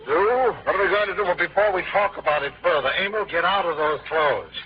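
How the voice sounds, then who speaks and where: A man's voice: sits high, brisk, close to an everyday voice. An actor playing a dry, exasperated father of a teenage boy in a vintage radio drama. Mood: stern, exasperated. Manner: dry, stern, paternal. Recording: dull, lo-fi vintage radio recording.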